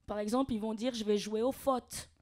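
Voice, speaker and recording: A woman's voice: on the high side, fairly even intonation, a little fast, darkish tone. A woman from Switzerland, a guest on a podcast, explaining things earnestly in casual chat with many fillers. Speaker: guest, freestyle rapper and actress.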